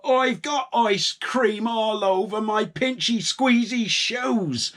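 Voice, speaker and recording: A man voicing an exasperated farmer in a children's story, whining complaints and breaking into scolding shouts. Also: high voice, takes it slowly, pitched above the performer's own voice. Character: exasperated, grumbling male farmer chasing his naughty pig.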